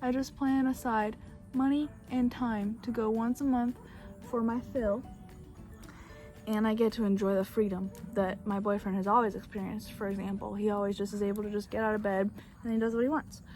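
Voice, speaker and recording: A woman's voice: mid-range voice, little pitch movement, normal speed, darkish tone, soft background music. A young woman whose first language is Bulgarian, chatting casually and rambling through a makeup tutorial on a back porch, filmed on a phone. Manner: chatty, rambling, self-deprecating. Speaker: beauty vlogger. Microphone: phone camera.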